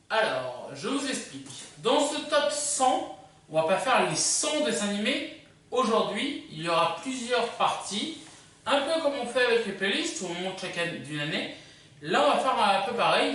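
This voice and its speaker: A video host giving a casual, unscripted countdown in a hesitant, halting way, often correcting themselves. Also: medium pitch, a bit bright. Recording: very echoey room, far from mic.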